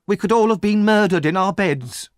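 An indignant, self-pitying wife from a storybook, played by a man. The voice sits high and moves dramatically, recorded dry and close. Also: moderate pace, dry recording, natural voice. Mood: alarmed. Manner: dramatic, aggrieved. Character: indignant, self-pitying married woman of the shop. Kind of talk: storybook narration character.

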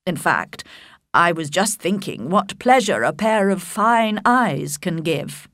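A woman voicing a proud, reserved young gentleman in a storybook, measured, polite and faintly disdainful. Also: on the low side, expressive, dry recording, clean studio sound, heavily acted. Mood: composed, dry.

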